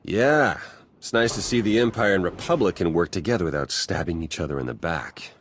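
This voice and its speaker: Deep-voiced, wry spy. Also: male voice, expressive, brisk, slight background noise. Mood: sarcastic. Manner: casual, sardonic. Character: wry, roguish male Republic spy. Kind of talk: conversational video game acting.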